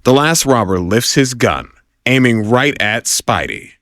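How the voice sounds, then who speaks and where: A man's voice: medium pitch, big pitch swings, a little slow, a bit bright. A man narrating an audio drama from a script in a wry, theatrical way.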